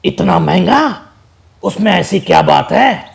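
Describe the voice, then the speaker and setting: A man voicing a wary, haggling buyer with a gravelly, husky voice and a doubtful manner. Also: sits high, expressive, slow, exaggerated voice.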